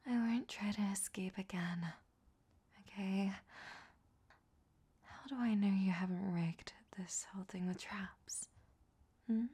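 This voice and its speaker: Breathy, whispering flirtatious vampiress played by a woman. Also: medium pitch, warm tone. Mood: reluctant, huffy. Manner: slow, teasing, coy. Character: flirtatious, vain, captive female vampire, sly and teasing. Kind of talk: intimate whispered roleplay audio drama.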